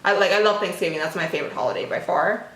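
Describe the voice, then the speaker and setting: A woman's voice: lowish voice, expressive, rapid-fire, echoey room, very noisy. A young woman from the United States chatting casually about her upbringing, with frequent fillers. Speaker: interviewee in a couple's conversation. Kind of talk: casual personal chat.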